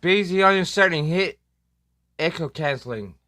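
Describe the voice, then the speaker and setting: Bright, joking male livestream host. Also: fairly high pitch, normal speed, no room sound. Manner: joking, self-deprecating, swears casually. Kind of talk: casual livestream chat.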